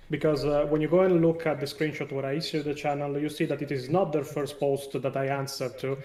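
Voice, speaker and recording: A man with an Italian accent, a non-native English speaker, talking as a guest on a live stream in an animated way with many fillers. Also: fairly high pitch, reverberant, recorded from a distance. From Italy. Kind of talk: casual live stream discussion.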